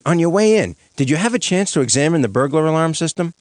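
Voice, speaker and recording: Cartoon prosecutor, brash, glib, very animated intonation, insistent, dry studio sound. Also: male voice, a little high, quick pace, no room sound. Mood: impatient. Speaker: prosecuting lawyer. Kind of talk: animated comedy courtroom dialogue.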